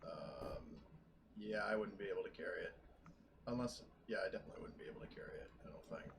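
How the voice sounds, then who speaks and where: A man's voice: deep voice, lively intonation, fast talker. A man chatting casually as a player in a tabletop roleplaying game, working through rules and stats. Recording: clear background noise.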